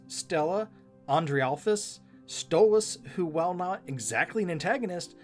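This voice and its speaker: A casual, enthusiastic co-host chatting in a conversational video commentary. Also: medium pitch.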